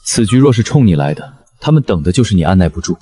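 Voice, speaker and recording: A man playing a proud, shrewd imperial chancellor in a historical animated drama, speaking in a measured, admonishing way. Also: sits low, breathy edge, heavily acted. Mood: stern warning. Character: proud, aloof, shrewd male imperial chancellor, secretly a rabbit spirit. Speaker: voice actor in a costume drama.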